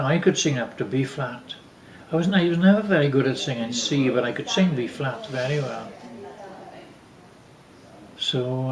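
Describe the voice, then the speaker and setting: An older man casually telling family stories in a halting, rambling way. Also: mid-range voice, echoey room, rough recording. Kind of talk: casual reminiscing.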